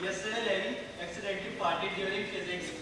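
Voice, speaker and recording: A man's voice: sits high, fairly even intonation, fast talker, noisy background, lightly acted. A man on stage acting an awkward, well-meaning teenage friend. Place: stage in a large hall. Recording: far mic, echoey hall, music.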